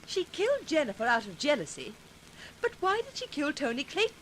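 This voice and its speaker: A high, animated woman's voice over faint old-radio noise. She is an anxious, inquisitive sidekick asking worried questions in 1940s drama style. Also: a little fast, exaggerated voice. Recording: noisy 1940s radio recording.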